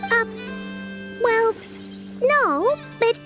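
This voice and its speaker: A woman playing a tiny childlike fairy companion in a video game, squeaky, naive and earnestly curious. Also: animated, drawn-out words, a bit bright, soft background music, exaggerated voice. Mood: hesitant, worried.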